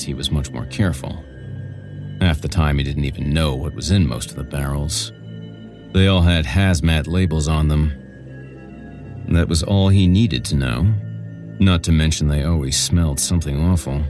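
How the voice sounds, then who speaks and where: A man hosting and narrating scripted horror with an ominous, deliberate theatrical delivery. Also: extremely low pitch. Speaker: horror podcast host and narrator. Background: eerie music.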